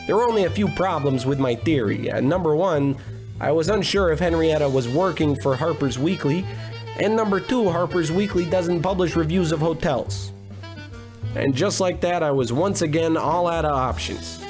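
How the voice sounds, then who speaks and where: A man playing a bumbling, self-important private detective in a comic 1940s-style radio noir, blustering and deadpan. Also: a little high, normal speed, over music, close to an everyday voice. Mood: brooding narration.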